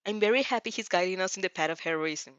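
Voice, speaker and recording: A woman's voice: medium pitch, fast, a bit bright, smooth, dry recording, lo-fi sound. A woman hosting a casual fanfiction read-aloud, hesitant and giggly, often correcting herself as she goes. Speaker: host of fanfiction reading show.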